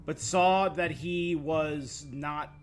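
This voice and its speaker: A man's voice: a little high, fairly even intonation, extremely slow. A man streaming a game, reacting casually in short, offhand comments.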